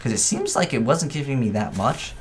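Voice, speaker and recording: A man's voice: medium pitch, big pitch swings, fairly quick, soft background music. A man giving casual, off-the-cuff gameplay commentary, thinking aloud and questioning himself as he goes. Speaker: gaming video creator.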